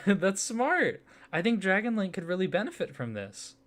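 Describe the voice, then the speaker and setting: A man speaking softly and warmly in an ASMR roleplay as a friendly card designer, gently enthusiastic. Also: high-pitched, sing-song, measured pace. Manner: soft-spoken, gently enthusiastic. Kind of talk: soft-spoken ASMR roleplay.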